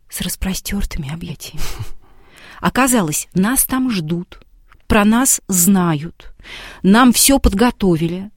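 A woman guest on a talk show, telling a story and acting out the lines of the people in it. Her voice sits at an ordinary middle pitch and sounds dry and close, with no room sound around it. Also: no room sound. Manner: lively storyteller who acts out quoted dialogue. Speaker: guest, television documentary maker.

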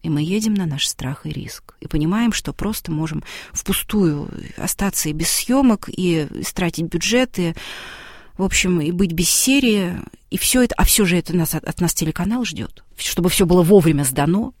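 Lively woman telling a story. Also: fairly low pitch, moderate pace, no room sound, clean studio sound. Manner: lively storyteller who acts out quoted dialogue. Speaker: guest, television documentary maker. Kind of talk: storytelling in a talk show.

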